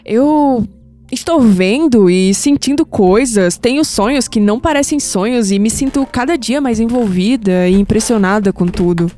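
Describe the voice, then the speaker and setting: An actress playing an anguished young Brazilian granddaughter in an audio drama, emotional and hesitant, with sighs. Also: female voice, a little high, moderate pace, warm tone, lightly acted. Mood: troubled, resolute. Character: anguished, skeptical young granddaughter unsettled by past-life visions. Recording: music bed under the voice.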